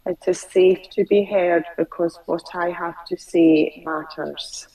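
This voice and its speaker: A woman client who stutters, slowly repeating phrases after her coach over a video call. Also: lowish voice, steady tone, warm tone, far from the mic, rough recording.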